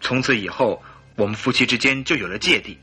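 A man's voice: mid-range voice, fairly quick, pushed and bright, slightly rough, heavily acted. A man acting a polite, earnest, troubled English husband in his early thirties in a Chinese radio drama. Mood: concerned, puzzled.

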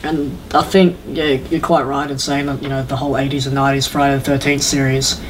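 A man's voice: mid-range voice, flat delivery, brisk, slight background noise, poor audio. Laid-back man chatting on a podcast. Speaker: podcast co-host. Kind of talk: casual podcast chat.

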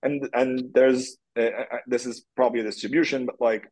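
A man's voice: medium pitch, steady tone, brisk, darkish tone, poor audio. A man from Turkey, a guest in an interview, answering at length with many fillers and restarts. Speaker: guest, tech product executive.

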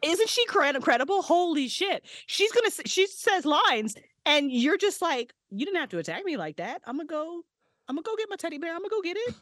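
A woman's voice: very high voice, big pitch swings, a little fast, a bit bright, dry recording, clean studio sound. A woman voice actress and writer, a podcast guest chatting casually, laughing a lot and swearing freely.

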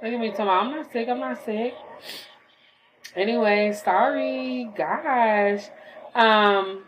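A young woman with a slightly hoarse voice after an illness, rambling casually in a late-night monologue at home, full of fillers. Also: a little high, takes it slowly, muffled recording, a little hiss or hum. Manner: rambling, filler-heavy, talks while gaming. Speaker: podcaster.

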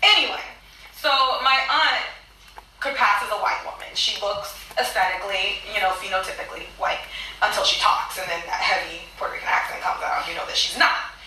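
A woman's voice: mid-range voice, fairly quick, pushed and bright. A woman co-hosting a casual discussion podcast, speaking earnestly and emphatically about personal experiences. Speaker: podcast co-host. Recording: echoey room, distant mic.

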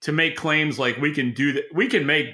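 A man co-hosting a podcast, giving opinionated market commentary in an informal, halting way with repeated words. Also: on the high side, fairly even intonation, bright, forceful tone. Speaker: podcast co-host and industry analyst.

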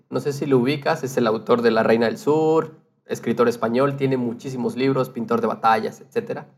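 A man explaining things informally as a podcast guest, adding little tag questions. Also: fairly high pitch. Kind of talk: casual explanatory chat.

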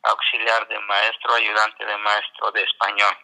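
A man's voice: on the low side, completely flat delivery, a little slow, vocal fry, pushed and bright, low-quality recording. An older man whose first language is not Spanish, telling his story in a radio interview, hesitant with many restarts. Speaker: interview guest, graduate.

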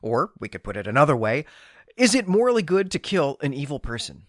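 A man's voice: on the high side, very animated, a little fast, fairly bright tone, dry recording, studio quality. A man hosting a literary podcast, giving analytical, bookish commentary with emphatic phrasing. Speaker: podcast host.

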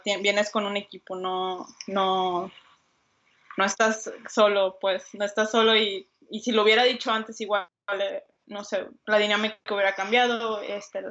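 A woman's voice: mid-range voice, fairly bright tone, highs cut off. A young Mexican woman, a designer, answering interview questions casually with many fillers and restarts. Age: young adult. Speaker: designer, guest.

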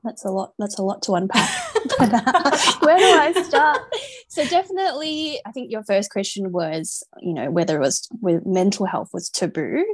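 A woman's voice: medium pitch, sing-song, normal speed, husky, dry recording. Melbourne-raised Australian woman, dry close sound. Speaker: guest. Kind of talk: casual interview.

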